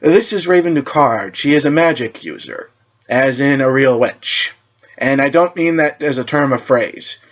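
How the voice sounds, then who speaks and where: A man's voice: medium pitch, animated, normal speed, lo-fi sound, natural voice. A man voicing a stern, exasperated male superhero team leader who lectures earnestly in a story reading. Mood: stern, explaining.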